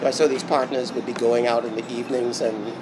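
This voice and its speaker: A Bahamian man giving an interview, speaking reflectively with many pauses and restarts. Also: mid-range voice, music in the background, poor audio. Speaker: interview guest. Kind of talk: casual interview talk. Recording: steady background noise.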